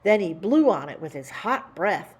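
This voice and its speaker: Animated woman reading a children's story, slightly husky. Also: medium pitch, moderate pace. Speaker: storytime reader. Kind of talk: expressive read-aloud of a children's picture book.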